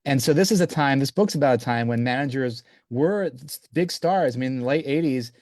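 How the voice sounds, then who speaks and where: A man's voice: mid-range voice, animated, brisk, a little mellow, no room sound, studio quality. A man in his forties, raised in Belgium, answering interview questions about his book with eager, stop-start phrasing. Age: 40s. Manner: enthusiastic, restarts often, says "you know". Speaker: author guest.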